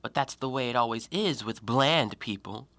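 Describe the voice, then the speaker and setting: A man acting in an audio play as an educated, coolly logical, self-righteous man who argues scornfully in precise, deliberate diction. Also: medium pitch, bright, forceful tone, no echo. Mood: wary, reasoning.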